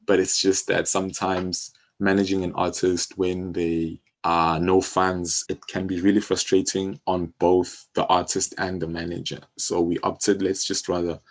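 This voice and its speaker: Deep-voiced South African man. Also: level tone, a little slow, few pauses. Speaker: music publishing company co-founder, interview guest. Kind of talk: casual conversational interview.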